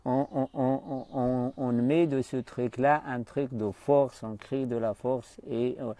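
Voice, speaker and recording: A man speaks French as an on a coaching live stream. His mellow, dark voice is recorded close and dry. He talks unscripted and often pauses to hunt for the right word. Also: medium pitch, fairly even intonation, no room sound. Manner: hesitant, often searching for words.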